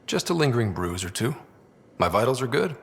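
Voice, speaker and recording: A man's voice: mid-range voice, big pitch swings, a little fast, vocal fry, a little hiss or hum. A man playing a young, easygoing space explorer and team leader in video game dialogue, speaking casually. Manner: casual, conversational.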